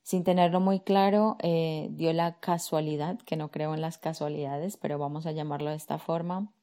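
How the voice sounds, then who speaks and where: A woman, speaking as a career coach on her podcast. Her voice sits low and warm, and her intonation stays quite even. She talks calmly and reflectively, soft fillers as she gathers her thoughts. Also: normal speed. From Colombia. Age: 30s. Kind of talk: personal storytelling monologue.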